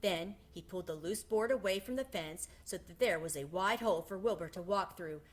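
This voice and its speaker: A woman reading a children's book aloud in an expressive storytelling style. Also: on the low side, brisk. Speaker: storybook reader.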